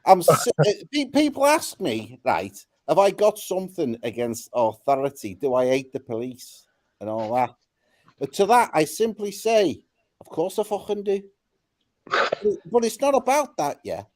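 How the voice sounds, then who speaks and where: A man's voice: on the high side, sing-song, normal speed. A man putting on a brash Scouse chancer. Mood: swaggering rant. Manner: swaggering, calls everyone lad and mate. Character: brash foul-mouthed Scouse male police-baiting chancer. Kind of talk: comic put-on character on a live chat.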